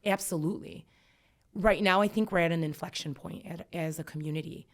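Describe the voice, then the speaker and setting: A woman's voice: fairly low pitch, fairly quick. A woman in her thirties, an educator guest on a podcast, talking reflectively and emphatically with many fillers. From United States. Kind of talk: earnest podcast conversation.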